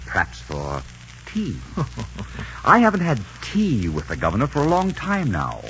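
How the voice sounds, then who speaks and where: An actor playing a suave, arrogant pirate leader in a 1940s radio drama. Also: male voice, mid-range voice, huge pitch swings, unhurried, sharp tone, slight background noise, full character voice. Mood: smooth, sly. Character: swaggering, cynical pirate leader posing as respectable gentleman. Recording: muffled 1940s radio recording with music.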